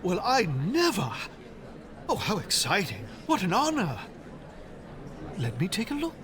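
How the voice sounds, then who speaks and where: An actor playing an eager, friendly teacher in an audio drama, who talks in an excitable way. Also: male voice, sits high, a little slow, crowd noise, heavily acted. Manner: excitable, lively. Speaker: teacher who likes opera.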